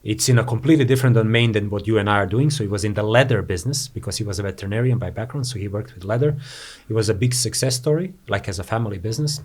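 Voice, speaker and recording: A man's voice: lowish voice, racing, a little mellow. Rambling man from Croatia, says "you know". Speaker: podcast guest, entrepreneur. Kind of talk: casual interview chat.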